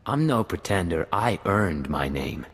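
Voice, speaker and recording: An actor playing a calm, dry imperial spy who speaks in clipped lines, in a video game cut-scene. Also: male voice, deep voice, a little slow, breathy edge, full character voice. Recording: long reverb.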